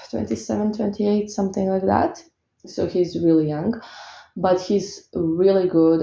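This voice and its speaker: Croatian-accented woman, slow and hesitant, lots of fillers, echoey room, dull lo-fi sound. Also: on the low side, vocal fry, dark tone. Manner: hesitant, many fillers and restarts. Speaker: tarot reader and spellcaster. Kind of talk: casual talk to viewers.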